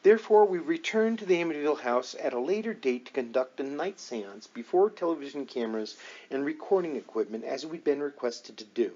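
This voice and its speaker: A man voicing a composed, earnest woman psychic investigator in an audiobook, measured, matter-of-fact and assured. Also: a little high, animated, mellow, dull audio, voice pushed down. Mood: composed, explanatory. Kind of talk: audiobook dialogue reading.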